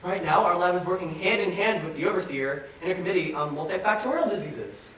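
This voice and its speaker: A man on stage playing an excitable, eager-to-please lab doctor. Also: high-pitched, quick pace, recorded from a distance. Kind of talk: staged musical reading, stage acting. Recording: echoey theatre from afar, faint noise.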